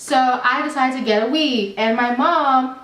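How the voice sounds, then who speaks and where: A young adult woman telling a storytime vlog in a chatty, animated, rambling way with many fillers. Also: high voice, unhurried. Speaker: vlogger. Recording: roomy, slightly distant mic.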